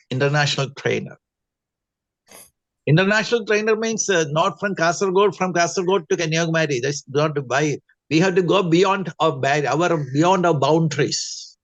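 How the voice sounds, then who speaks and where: A man's voice: on the high side, normal speed, no echo. A man with an Indian accent giving a congratulatory, exhorting feedback speech as a trainer on an online call. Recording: muffled online meeting audio.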